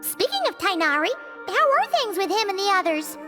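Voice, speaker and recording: A tiny, bubbly fairy companion from an anime game. The voice is extremely high, squeaky and childlike, with excitable delivery. Music plays behind it. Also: female voice, fairly quick. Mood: curious. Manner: excitable, speaks of self in third person. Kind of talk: English anime game dub acting.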